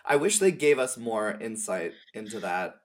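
A woman's voice: rumbling low, big pitch swings, moderate pace. Woman thinking aloud in casual chat. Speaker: podcast guest. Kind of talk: casual conversational commentary on game lore.

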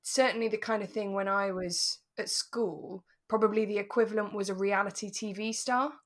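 Casting: British woman, medium pitch, informal and emphatic podcast co-host in easy conversation. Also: fairly even intonation, moderate pace. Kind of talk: casual podcast chat.

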